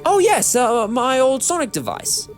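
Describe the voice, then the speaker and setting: An actor playing an eccentric, clever time-travelling adventurer in a fan audio drama, theatrical, with musing hesitations. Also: male voice, extremely high pitch, wildly swooping pitch, unhurried, soft background music, full character voice. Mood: musing, flustered.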